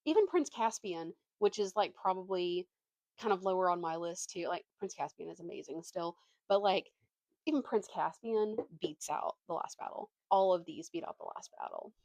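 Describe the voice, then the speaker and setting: A woman's voice: on the low side, moderate pace, dry recording, poor audio. A young woman chatting casually as a book vlogger, opinionated and slangy, poking fun at herself. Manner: animated slangy delivery, opinionated, self-deprecating.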